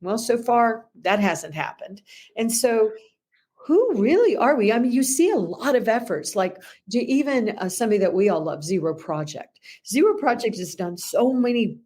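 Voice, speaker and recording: A woman co-hosting a discussion show, talking in long, animated run-on thoughts. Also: on the high side, normal speed. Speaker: podcast co-host. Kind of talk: casual discussion.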